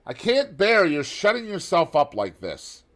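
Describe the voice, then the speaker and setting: A worldly, witty gentleman with a raised, lilting upper-class tone, put on by a male audiobook narrator. He sits well above the narrator's usual low register and speaks up loud and bright. An airy, knowing figure from a classic novel reading. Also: wildly swooping pitch, unhurried, heavily acted. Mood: urgent, airy.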